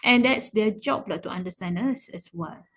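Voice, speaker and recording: A woman's voice: mid-range voice, normal speed, a bit bright. Malaysian woman teaching, switching between languages. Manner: mixes English and Malay freely; encouraging, emphatic with repetition. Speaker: English teacher. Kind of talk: informal online class, explaining.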